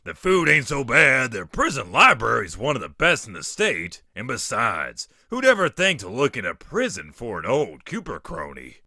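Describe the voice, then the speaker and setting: A man voicing a crotchety old walrus convict in a comic dub, gruff and folksy with streetwise slang. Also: medium pitch, wildly swooping pitch, normal speed, hardly any pauses, pushed and bright, dry recording, studio quality, heavily acted. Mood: delighted.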